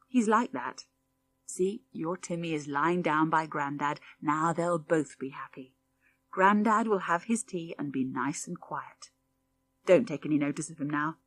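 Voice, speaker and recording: A woman's voice: deep voice, a little slow, a bit bright. A woman voicing a kindly, bustling farmer's wife and mother in a storybook, with a soothing, motherly manner.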